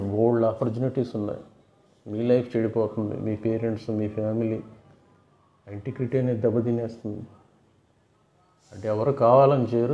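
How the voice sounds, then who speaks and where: Guy chatting to viewers, mellow creaky voice, repeats himself, echoey lo-fi room. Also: on the low side. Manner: repeats and rephrases points, addresses viewers as friends. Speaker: video host giving fraud awareness advice. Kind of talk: casual explanatory storytelling to viewers. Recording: roomy, dull lo-fi sound.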